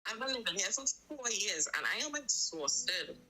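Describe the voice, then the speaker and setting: Trinbagonian woman, guest in a live chat, very bright and sharp voice, emphatic, repeats her points. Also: medium pitch, sing-song, normal speed, slight background noise, rough recording. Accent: Trinidad and Tobago. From Tobago. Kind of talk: casual chat on a live stream.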